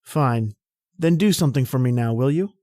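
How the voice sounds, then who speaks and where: A man's voice: mid-range voice, lively intonation, normal speed, darkish tone, no room sound, close to an everyday voice. Man acting as a gallant romantic suitor, dry sound. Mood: charming, eager. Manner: gallant, flowery, smooth-talking. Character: charming, flattering young male suitor, ardent and bold. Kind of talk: old-time radio romantic drama acting.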